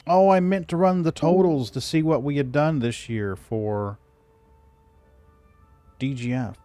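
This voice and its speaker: A man's voice: a little high, a little slow, dry recording, faint music behind. A man streaming a game, chatting casually with a friend, talking to himself and humming idly. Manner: relaxed, talks to himself, hums idly. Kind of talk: casual chat while gaming.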